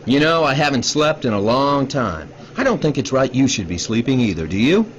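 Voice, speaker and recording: An actor in a radio drama playing a bitter, sleepless scientist, grave, sardonic and accusing, bent on revenge. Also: male voice, medium pitch, very animated, brisk, close to an everyday voice. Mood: quietly menacing. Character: bitter, sleepless male scientist, grimly vengeful. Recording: dull radio drama recording, faint noise.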